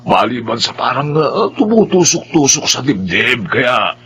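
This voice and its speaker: A man's voice: mid-range voice, very animated, unhurried, a bit bright, slight background noise. An actor with a Filipino accent playing a fretful, chatty village man of sixty in a radio drama, exclaiming often. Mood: gossipy. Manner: exclamatory, folksy.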